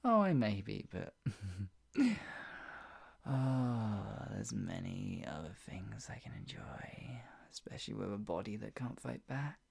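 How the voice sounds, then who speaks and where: A performer playing a possessive, sadistic yandere captor in an improvised ASMR roleplay, mocking and menacing, with a breathy voice that often drops toward a whisper. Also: mid-range voice, wildly swooping pitch, exaggerated voice. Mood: gloating menace. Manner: menacing, mocking, slow drawn-out taunts broken by laughs and sighs.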